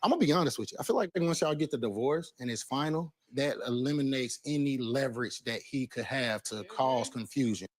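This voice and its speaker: A man on a reality show, talking things over in a measured, hedging way with frequent "um"s. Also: medium pitch. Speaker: reality show cast member. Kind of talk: reality TV conversation.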